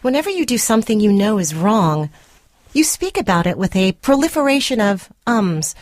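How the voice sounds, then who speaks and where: A woman's voice: medium pitch, big pitch swings, normal speed, no room sound, natural voice. Woman acting a prim, controlling spurned girlfriend, dry close sound. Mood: accusatory. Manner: prim, clinical, precisely worded, condescending. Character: controlling, self-righteous spurned girlfriend dictating a formal letter. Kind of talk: dramatic short-story reading for radio. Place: radio studio.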